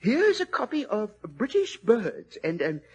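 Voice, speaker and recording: An actor playing a gruff, stammering old book collector with a quavering voice in a 1940s radio drama. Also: male voice, high-pitched, wildly swooping pitch, a little slow, a little mellow, highs cut off, full character voice. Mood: apologetic. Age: older.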